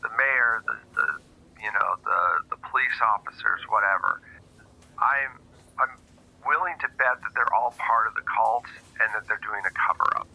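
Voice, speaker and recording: Blunt male handler, acted by a man at a game table, very bright forceful voice, halting informal delivery. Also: on the low side, animated, vocal fry, breathy edge, heavily acted, low-quality recording. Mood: musing, suspicious. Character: blunt male agency handler, matter-of-fact boss on the phone. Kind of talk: improvised tabletop roleplay.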